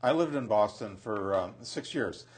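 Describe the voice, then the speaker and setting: Male host of a local studio show, husky voice, halting delivery with lots of fillers. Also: on the low side, expressive. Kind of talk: studio interview hosting.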